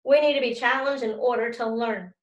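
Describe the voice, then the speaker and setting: A firm but encouraging schoolmaster from a children's book, acted out by a woman reader. The delivery is measured and instructive, with a fairly high voice for the part. The room adds a clear echo. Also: highs cut off, lightly acted. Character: male teacher, firm, encouraging, calm adult. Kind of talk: children's novel read-aloud.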